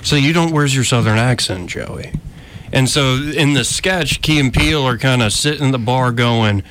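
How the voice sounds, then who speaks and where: A man's voice: mid-range voice, moderate pace, a bit bright, slight background noise, low-quality recording. A man hosting a casual podcast chat, prodding his guests with eager questions. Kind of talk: casual talk, asking questions.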